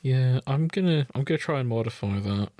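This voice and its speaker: A man's voice: medium pitch, animated, fairly quick, mellow, no echo, studio quality. A man co-hosting a casual podcast, chatting in a jokey, irreverent and sweary way. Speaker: podcast co-host. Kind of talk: casual banter.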